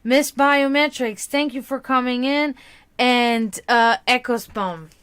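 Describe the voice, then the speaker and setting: A woman's voice: on the high side, slow, fairly bright tone, clear, resonant tone. A woman in casual livestream chat, giving tarot readings, rambling and laughing often.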